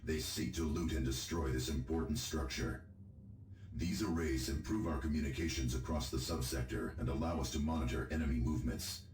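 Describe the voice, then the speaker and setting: An actor playing a solemn, dutiful military tech officer, briefing formally and steadily in a video game. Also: male voice, a bass voice, darkish tone, echoey room. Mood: concerned. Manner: formal, measured.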